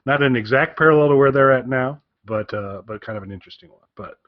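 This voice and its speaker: A man's voice: medium pitch, no room sound. Man podcasting in a dry, slightly dull recording. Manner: rambling, halting, repeats phrases. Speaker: podcast co-host. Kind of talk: casual podcast recommendation chat.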